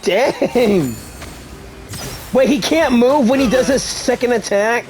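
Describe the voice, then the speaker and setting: A gaming video host giving casual gameplay commentary, given to excitable exclamations. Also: medium pitch, slow, over music.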